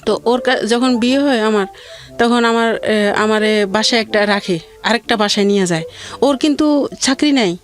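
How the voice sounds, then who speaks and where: A woman telling her life story in a plaintive, rambling way with halting repeats. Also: fairly high pitch. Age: adult mother of two. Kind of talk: personal hardship testimony in conversation.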